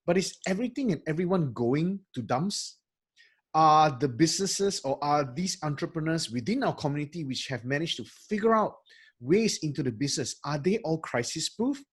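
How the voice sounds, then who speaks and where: A man from Malaysia hosting a podcast interview over a video call, in a friendly, measured way. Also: on the high side, animated, slightly rough. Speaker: podcast host.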